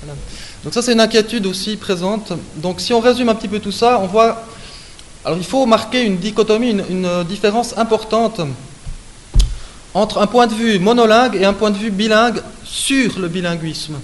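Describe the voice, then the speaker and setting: High-voiced man lecturing. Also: normal speed. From Switzerland. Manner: explanatory, peppered with hein and euh, frequent self-corrections. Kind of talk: academic lecture. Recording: echoey room with faint hiss.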